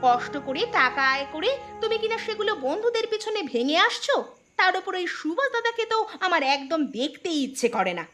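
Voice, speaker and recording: Woman voicing a high-pitched, nagging cartoon wife. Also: sing-song, brisk, sharp tone, soft background music, full character voice. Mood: scolding, disdainful. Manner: scolding, exasperated. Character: nagging, disdainful village wife waiting on her husband. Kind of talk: cartoon folk-tale dialogue acting.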